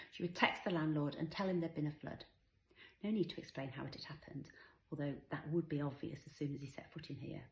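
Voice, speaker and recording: Low-voiced woman narrating, roomy echo. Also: darkish tone. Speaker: author reading her own novel. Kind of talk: scripted story narration.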